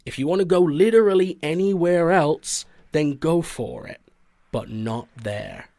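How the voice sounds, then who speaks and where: Male investigations boss acted by a man for audio fiction: gravelly edge, earnest and protective, dry close recording. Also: on the high side, a little slow, mellow, dry recording. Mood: earnest, concerned.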